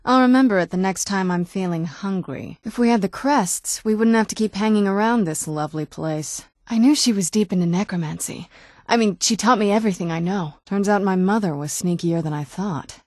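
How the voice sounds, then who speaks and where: Woman voicing a young sounding ancient vampire lady from a video game, soft mellow tone, wry and guarded, really dry clean sound. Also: medium pitch, brisk, no echo, lightly acted. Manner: wry, conversational, understated. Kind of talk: video game character dialogue.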